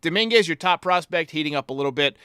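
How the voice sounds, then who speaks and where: Bright, crisp-voiced male sports podcast host. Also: on the high side, lively intonation, fast, dry recording, clean studio sound. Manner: blunt, sarcastic. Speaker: host of a baseball fan podcast. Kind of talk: opinionated sports commentary.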